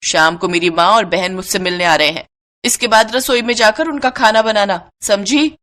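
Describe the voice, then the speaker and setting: A woman's voice: mid-range voice, normal speed, a bit bright, close to an everyday voice. Woman playing a harsh, bossy middle-aged aunt. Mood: scornful. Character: cruel, scolding middle-aged daughter-in-law and aunt.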